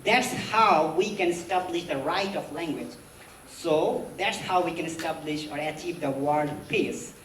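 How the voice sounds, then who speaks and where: A woman's voice: sits low, a little slow. A woman closing her speech and introducing the next speaker as event host, earnest and repeating her points. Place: conference hall. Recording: echoey hall from far off, faint noise.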